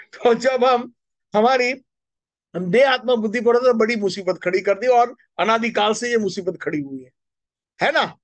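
A middle-aged man from giving an animated devotional lecture in a temple hall, speaking into a stand microphone and asking his listeners questions. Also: very high-pitched, normal speed. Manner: animated, gesturing, asks the audience questions. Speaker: spiritual speaker. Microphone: stand mic close to mouth.

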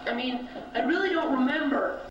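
A man's voice: very high voice, normal speed, lo-fi sound. A young male acts out a suspicious, exasperated dead bank worker in a homemade audio drama. He questions and hesitates, circling back over his words, on a noisy tape with heavy echo and some distance from the mic. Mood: wary, searching. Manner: hesitant, questioning, repeating himself. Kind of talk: amateur audio drama dialogue. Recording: noisy, echoey distant old home tape.

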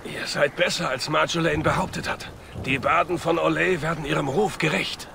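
An actor playing an arrogant, cruel military commander, a villain in a dubbed video game, with a very gravelly voice. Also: male voice, a little high, fairly even intonation, normal speed. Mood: sneering. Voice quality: gravelly, creaky. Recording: noisy outdoor game ambience.

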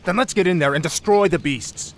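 An actor playing an earnest, confident young warrior prince in a fantasy game, speaking in a heroic, self-assured way. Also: male voice, high voice, brisk, slight background noise, close to an everyday voice. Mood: determined. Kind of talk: fantasy video game cutscene acting.

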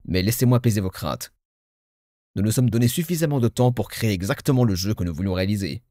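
A man playing a pompous, self-satisfied game narrator with formal, measured, grandiloquent delivery. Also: fairly low pitch, animated, dark tone, dry recording, clean studio sound, lightly acted. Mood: reassuring, self-assured. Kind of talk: theatrical scripted game narration in French fan dub.

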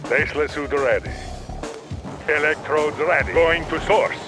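An actor playing an eager, obedient Soviet trooper, giving terse military replies in a video game. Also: male voice, on the high side, unhurried, music in the background, lightly acted. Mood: dutiful, eager. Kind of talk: clipped video game unit responses.

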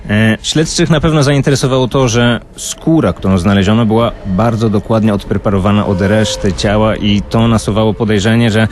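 A man's voice: fairly low pitch, fairly even intonation, fairly quick. A man tells true-crime stories as a radio co-host. His delivery is conversational, with some ambient noise behind the studio speech.

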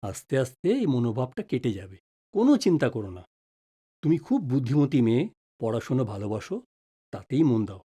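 A man's voice: mid-range voice, very animated, brisk, dark tone, breathy edge. An actor playing a patient, reassuring middle-aged father in an audio drama, speaking in an avuncular, advising way. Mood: calm, advising.

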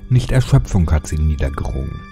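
A man narrating a scripted horror story in a dramatic, suspenseful manner with deliberate phrasing. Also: a bass voice, very animated, creaky, mellow, faint music behind.

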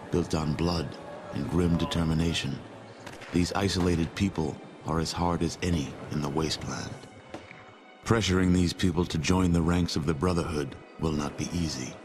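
Cutscene narrator: very low, solemn, measured storytelling over music. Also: male voice. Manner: grave, measured. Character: solemn male storyteller of a wasteland order.

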